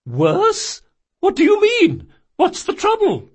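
A man's voice: very high voice, wildly swooping pitch, slow pace, pitched above the performer's own voice, full character voice. A man voicing a bossy, fierce old grandmother shopkeeper in an audiobook, emphatic and commanding with a strong carrying voice. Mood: alarmed concern.

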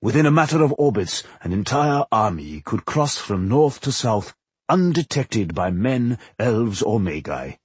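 Man playing an old, husky dwarf king. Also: lowish voice, very animated, measured pace, no echo, heavily acted. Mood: knowing, proud. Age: older. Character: aged, frail dwarf High King, wise and measured.

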